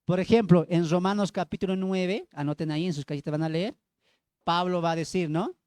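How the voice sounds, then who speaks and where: A man's voice: high voice, fairly even intonation, fairly quick, darkish tone, no echo. A man with a Bolivian accent preaching and teaching from the Bible, emphatic, repeating phrases and putting questions to his listeners. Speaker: evangelical preacher.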